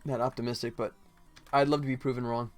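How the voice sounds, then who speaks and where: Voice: medium pitch, little pitch movement, fast. Casual, rambling livestreamer with music behind. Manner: rambling, jokey, acts out mock quotes. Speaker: livestream host, game developer. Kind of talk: casual livestream chat while working.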